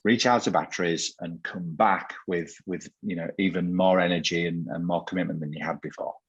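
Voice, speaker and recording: Low husky man hosting an interview. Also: steady tone, fairly quick, dull audio. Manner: earnest, repeats words while building a point. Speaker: host. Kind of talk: conversational interview.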